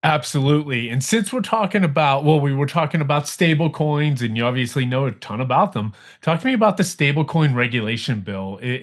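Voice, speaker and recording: A man hosting a podcast interview, chatty and casual, asking questions. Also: mid-range voice. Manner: casual, chatty, with restarts and laughs. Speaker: podcast host.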